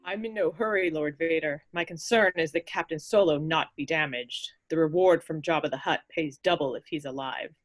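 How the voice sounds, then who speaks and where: A man playing a cool mercenary bounty hunter in an amateur script read, measured and businesslike. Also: fairly high pitch, dry recording, close to an everyday voice, low-quality recording.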